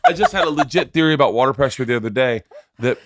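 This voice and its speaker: A man's voice: mid-range voice, quick pace, no room sound, clean studio sound. A middle-aged American man bantering casually off the cuff, joking and rambling. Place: indoor lounge studio, standing. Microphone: leaning over podcast boom microphone.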